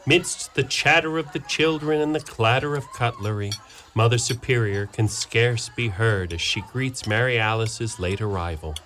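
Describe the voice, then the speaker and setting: A man narrating a community radio play in a measured storytelling delivery. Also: mid-range voice, fairly bright tone, slight background noise.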